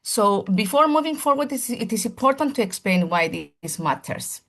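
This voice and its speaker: A woman presenting a seminar with a gravelly, fry-edged voice at a middling pitch. She speaks in earnest, formal lecture phrasing, building emphatic lists and often restarting mid-sentence as she hunts for the right word. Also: lively intonation, moderate pace. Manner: earnest and searching for words, with frequent restarts. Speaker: primary school teacher presenting a seminar.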